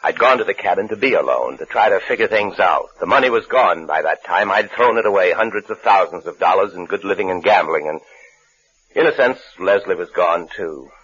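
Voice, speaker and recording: An actor playing a bitter, disgraced lawyer and husband in a 1950s radio suspense play, brooding and curt. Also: male voice, deep voice, lively intonation, brisk, a bit bright, slightly rough, heavily acted. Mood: brooding, resigned. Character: bitter, disgraced lawyer husband recounting his own downfall. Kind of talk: 1950s radio suspense acting with first-person narration. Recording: muffled old radio broadcast recording.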